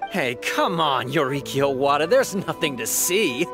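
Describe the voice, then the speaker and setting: A man's voice: a little high, animated, normal speed, close to an everyday voice. Young policeman for a game, played by a man: pushy, speculative, sure of his theories, over audible music. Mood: insistent. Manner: insistent, speculative, emphatic. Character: opinionated younger policeman, insistent conspiracy theorist. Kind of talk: video game voice acting, dialogue.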